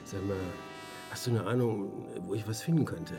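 Man acting gruff investigator, colloquial, radio drama, fry in the voice, music behind. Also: on the low side, moderate pace, lightly acted. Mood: subdued. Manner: colloquial, blunt.

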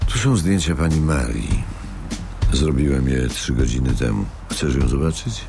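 An actor playing a bitter, menacing middle-aged businessman in a radio drama, with slow, controlled delivery. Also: animated, noisy background, exaggerated voice. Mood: calm, guarded. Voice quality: very deep, gravelly. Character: bitter, menacing self-made businessman, a grieving father seeking revenge.

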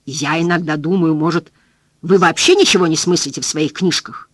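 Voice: mid-range voice, moderate pace, no echo, clean studio sound, close to an everyday voice. A reader voicing a blunt, foul-mouthed woman cleaner who exclaims and rants in plain folksy speech. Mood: ranting.

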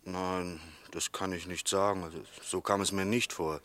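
Careful, mild witness, very low flat voice, hesitant, subdued, reverberant hall, sixties German radio drama. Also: male voice. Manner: quiet, hesitant, measured. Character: mild, careful male chess club witness. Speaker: actor in a radio play. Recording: long echoing hall.

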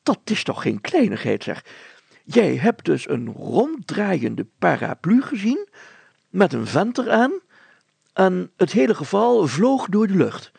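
Man voicing a flustered, creaky, pompous mayor. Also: high-pitched, huge pitch swings, takes it slowly, darkish tone, no echo, voice pushed up, exaggerated voice. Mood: amazed. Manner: hesitant, hemming and stammering, formal. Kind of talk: children's story character voice.

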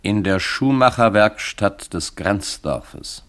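Deep, slow drama narrator. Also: male voice, animated, a bit bright. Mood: measured. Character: sombre narrator of a poetic play. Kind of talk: scripted radio drama narration.

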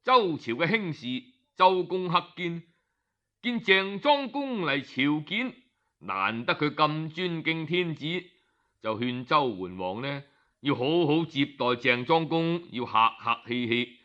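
A man's voice: on the high side, very animated, takes it slowly, pushed and bright. A man with a Cantonese accent telling historical tales as an audiobook storyteller, in a colloquial, conversational way full of exclamatory particles. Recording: dull, lo-fi audio.

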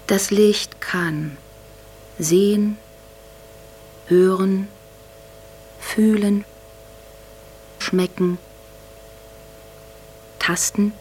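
An actress playing an entranced young woman in a radio drama, describing her consciousness haltingly and hushed, with long pauses. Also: medium pitch, a little hiss or hum. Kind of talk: slow meditative monologue in a radio drama.

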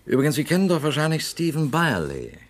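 Sly smooth-talking politician. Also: male voice, fairly high pitch, huge pitch swings, brisk. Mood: insinuating. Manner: smooth, insinuating, chuckling. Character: slick scheming male politician, smooth and sly. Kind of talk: radio drama acting.

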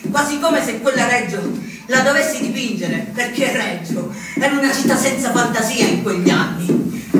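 High-voiced young anarchist. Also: male voice, heavy background noise. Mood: mischievous, reminiscing. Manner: impassioned, insistent, with emphatic repetitions. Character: rebellious young male anarchist from southern Italy, defiant outsider. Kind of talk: theatrical first-person monologue. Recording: echoey distant stage recording with music.

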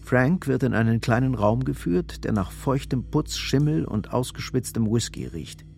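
A man's voice: mid-range voice, lively intonation, moderate pace, gravelly, darkish tone. A man giving a grim, intense scripted narration of a crime story in a German radio drama. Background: music.

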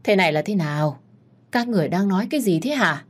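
A woman's voice: medium pitch, animated, fast talker, husky. A woman voicing a bewildered, demanding old grandmother who questions her family with authority in an audio story. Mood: shaken.

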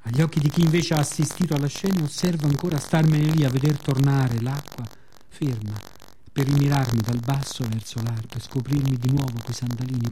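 A man telling a story as a radio theatre monologue, expressive, in short phrases with dramatic pauses. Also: medium pitch, a little hiss or hum, low-quality recording. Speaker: author and performer of a monologue.

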